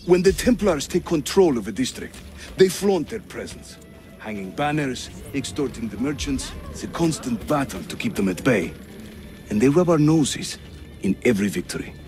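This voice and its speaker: A man's voice: mid-range voice, sing-song, normal speed, a little mellow, soft background music, natural voice. An actor playing a hearty, teasing Ottoman assassin leader in a video game, with a theatrical delivery. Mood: grave, indignant. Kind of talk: video game dramatic acting.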